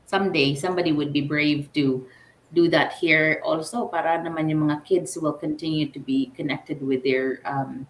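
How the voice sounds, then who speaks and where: Female radio co-host with a very low voice; animated and self-deprecating; roomy, echoey recording. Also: normal speed, slight background noise. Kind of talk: radio interview conversation. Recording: roomy echo.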